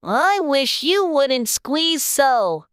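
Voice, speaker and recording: A narrator voicing a sleepy, sulky little Dormouse in a storybook, complaining in a drawn-out way. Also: high voice, big pitch swings, fairly bright tone, dry recording, clean studio sound, voice pushed up, full character voice. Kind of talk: dramatized storybook character voice.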